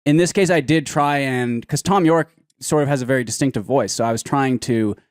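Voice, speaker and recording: A man talking casually as a podcast guest, hesitant and self-deprecating, with a self-described monotone way of speaking. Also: mid-range voice, fairly quick, smooth, dry recording, clean studio sound. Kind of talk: casual chat.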